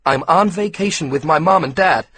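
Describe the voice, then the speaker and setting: A man voicing a frightened but defiant fourteen-year-old boy, pitched high and loud, with a husky voice. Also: normal speed. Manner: high, strained and pleading.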